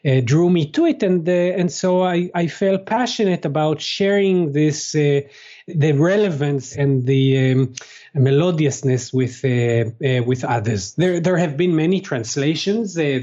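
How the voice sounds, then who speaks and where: A man whose first language is Hebrew, answering interview questions as a translator, pausing often with fillers and restarts. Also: a little high, mellow, lo-fi sound. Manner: halting, many fillers and restarts. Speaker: guest, translator.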